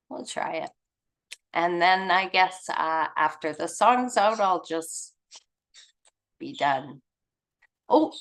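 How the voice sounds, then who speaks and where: A woman leading an online study gathering, reading aloud and adding casual, rambling comments as she searches for words. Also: on the low side. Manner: informal, chuckly, trails off and searches for words. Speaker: reader and leader of a spiritual study gathering. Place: online group video call.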